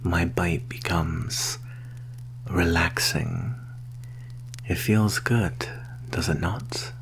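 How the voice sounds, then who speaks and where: A man playing a sly, menacing vampire in an ASMR roleplay, speaking in a soft, breathy half-whisper with slow, formal phrasing. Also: sits low, exaggerated voice. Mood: soothing. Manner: slow, deliberate, formal wording. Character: predatory male vampire, sly, coaxing and quietly menacing. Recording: clear background hum and noise.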